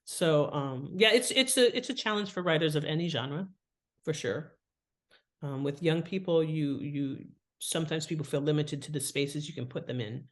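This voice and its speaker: A woman's voice: low voice, normal speed. A woman talking casually and expressively as an interview guest, slipping into little acted-out asides as she explains. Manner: chatty, expressive, acts out imagined thoughts and asides. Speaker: podcast guest, fiction author and editor. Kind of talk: casual, animated interview talk.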